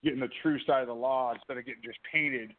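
A man co-hosting a casual talk show, talking excitably and rambling, often restarting his sentences. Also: medium pitch, fast, slight background noise. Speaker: co-host, fighter. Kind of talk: casual chat. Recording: phone-like line.

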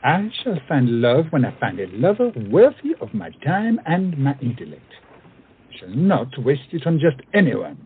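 Haughty bookish aristocrat male actor, disdainful period-comedy delivery, animated and rough-edged, thin phone-band audio. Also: a little high, normal speed, heavily acted. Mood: proud. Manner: pompous, disdainful, formally worded. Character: haughty, bookish French aristocrat, poet and swordsman. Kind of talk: theatrical comic period audio drama. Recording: muffled, tinny phone-like sound.

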